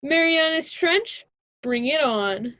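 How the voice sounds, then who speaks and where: Girl as stubborn college kid in a radio play, high husky voice, sassy, dry muffled audio. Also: lively intonation, takes it slowly, sharp tone, dry recording, full character voice. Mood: cocky. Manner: flippant, casual, argumentative. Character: stubborn, cocky young female college student. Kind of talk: amateur student radio drama acting. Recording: dry, slightly dull lo-fi recording.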